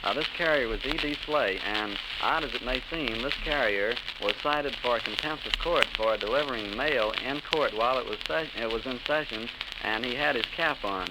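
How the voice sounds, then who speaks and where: Halting American radio host on crackling old recording. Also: male voice, mid-range voice. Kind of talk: 1930s radio interview.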